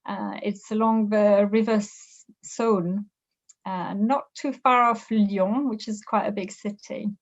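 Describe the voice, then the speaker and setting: French lady prof in an interview, mid pitch, pauses and restarts a lot, slightly dull sound. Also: steady tone, vocal fry. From France. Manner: thoughtful, with frequent hesitations and restarts. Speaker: business professor, interviewee. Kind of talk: reflective interview answers.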